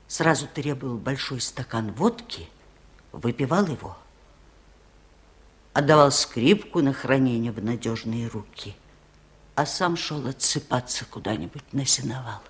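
An actress playing a wise, kind and slightly stubborn old village aunt in a 1970s radio drama, speaking slowly in a low voice. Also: female voice. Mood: gentle. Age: older.

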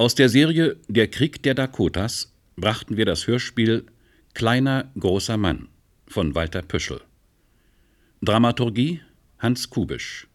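A man's voice: fairly low pitch, animated, unhurried. A man announcing radio drama credits in a formal, scripted style.

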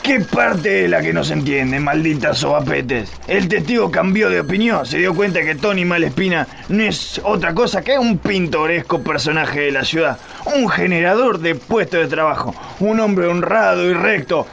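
Man playing a gravelly, sleazy Argentine police inspector. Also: on the high side, animated, normal speed, hardly any pauses, fairly bright tone, a little hiss or hum. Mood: insolent. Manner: insolent, leering, pompous bluster. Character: crude, sleazy, cocky male police inspector. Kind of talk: parody radio drama acting.

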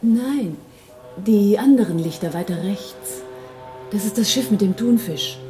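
A woman with a dark, husky voice acting a crook's lover in a German radio drama. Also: mid-range voice, expressive, measured pace, faint music behind, natural voice. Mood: matter-of-fact. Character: female partner of a crook, questioning her lover.

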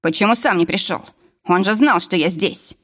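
A woman's voice: on the low side, moderate pace, a bit bright. Lady reading as a jumpy paranoid young guy, curt, hostile, demanding, audiobook. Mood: hysterical. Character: paranoid young man, trapped, suspicious and threatening.

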